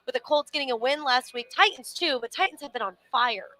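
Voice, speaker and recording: A young woman co-hosting a casual sports show. She leads the segments in an animated way and often stumbles over her words. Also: high voice, brisk.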